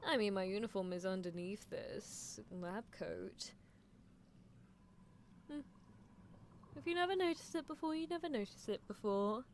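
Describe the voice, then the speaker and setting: A woman's voice: medium pitch, unhurried, long pauses, lightly acted. A woman voicing a gleefully unethical horse-girl scientist in an ASMR roleplay, musing in a coolly clinical, teasing manner. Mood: amused, dismissive. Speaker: streamer performing character roleplay. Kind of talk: ASMR roleplay, improvised character monologue. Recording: clear background noise.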